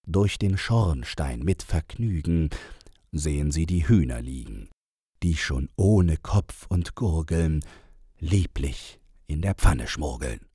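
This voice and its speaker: A man with a very deep, husky voice reads rhymed verse for an audiobook. His delivery is deliberate and theatrical, with wide swings of intonation. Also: expressive, dark tone, no echo, clean studio sound. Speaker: audiobook narrator. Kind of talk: expressive reading of a rhymed children's story.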